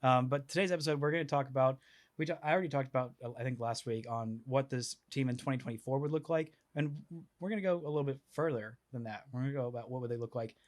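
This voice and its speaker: A man's voice: medium pitch, fast. A man hosting a sports podcast, talking casually with lots of fillers and restarts. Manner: rambling, filler-heavy, restarting. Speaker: podcast host.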